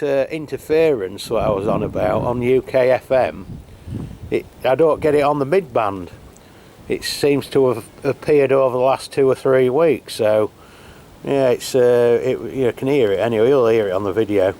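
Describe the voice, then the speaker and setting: A man's voice: mid-range voice, moderate pace. Guy on a rainy hilltop, laid-back radio chat, stops and starts a lot. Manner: relaxed, hesitant, many fillers. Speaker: channel host testing CB radios. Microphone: camera mic while holding a handheld radio.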